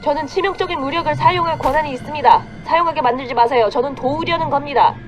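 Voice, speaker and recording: A woman's voice: high voice, very fast, music in the background, lightly acted. A woman acting as a composed Korean soldier in a video game, speaking in a clipped, businesslike, formal manner. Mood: urgent, commanding. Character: composed young female Korean soldier in a zombie apocalypse.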